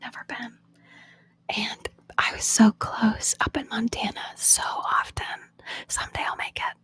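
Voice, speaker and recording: A young woman from Oklahoma, an ASMR host, chatting in a soft whisper with viewers on a live stream, answering their questions and laughing often. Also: on the high side, flat delivery, measured pace, husky, no echo. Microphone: phone.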